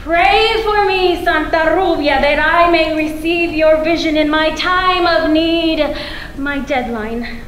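Woman, high voice, slow expressive poetry reading, mock-solemn prayer style, echoey hall. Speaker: poet reading at a tribute event. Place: stage in a meeting hall with seated audience. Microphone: stand microphone. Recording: echoey hall with some background noise.

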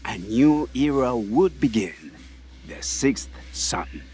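A man playing an earnest shaman and history teacher in an audio drama, with a measured, mystical manner. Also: mid-range voice, expressive, a little mellow. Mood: solemn, foreboding.

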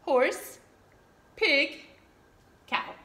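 Slow, bright-voiced woman leading toddler storytime. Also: high-pitched, expressive. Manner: simple short phrases with repetition for toddlers. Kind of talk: children's storytime, reading aloud and counting along. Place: small indoor room with curtain backdrop. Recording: echoey room with clear background noise.

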